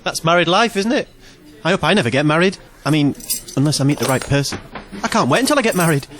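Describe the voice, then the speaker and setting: A man's voice: on the high side, lively intonation, quick pace, background noise, close to an everyday voice. An actor playing Robert, a needy, awkward young man who rambles nervously.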